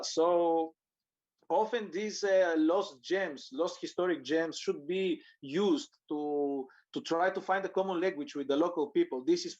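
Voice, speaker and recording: Man with a fairly high voice. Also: darkish tone, lo-fi sound. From Bulgaria. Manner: thoughtful, hesitant, with frequent fillers. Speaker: guest. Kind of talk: conversational interview discussion.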